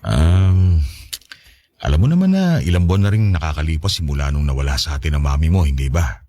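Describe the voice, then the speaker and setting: A male actor plays a tender Filipino widower talking to his grown daughter in a radio drama. His voice is very low and moves expressively, with an affectionate, hesitant manner. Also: animated, fairly quick, mellow, full character voice. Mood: hesitant, serious. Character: gentle widowed father of a college student daughter.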